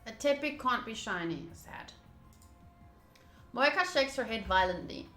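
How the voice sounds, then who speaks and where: Casting: female game streamer, bright mid-pitched voice in an echoey room, narrating on-screen text with informal asides. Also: expressive, unhurried. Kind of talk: reading a visual novel aloud with casual asides to viewers. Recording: roomy echo. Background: game music.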